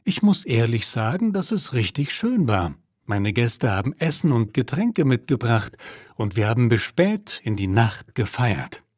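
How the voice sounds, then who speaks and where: A man acting as a sociable office worker of thirty. He recounts things with clear enunciation and very lively intonation at a medium pitch, as in a language lesson. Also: measured pace, no room sound, studio quality. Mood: pleased. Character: tired, sociable thirty-year-old male office worker. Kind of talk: scripted language-lesson dialogue.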